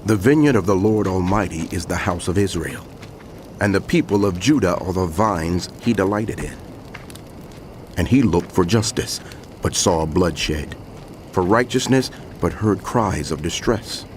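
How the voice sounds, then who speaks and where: A man's voice: sits low, animated, measured pace, slight background noise. A man playing a solemn prophet who proclaims woe in an emphatic, declamatory way in dramatized scripture narration. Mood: grave warning.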